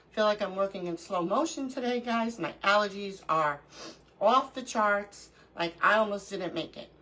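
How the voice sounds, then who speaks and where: A woman's voice: fairly high pitch, a bit bright, low-quality recording. A middle-aged woman hosting a casual craft livestream, stuffy and sniffling from allergies, chatting with viewers and greeting them by name. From New York.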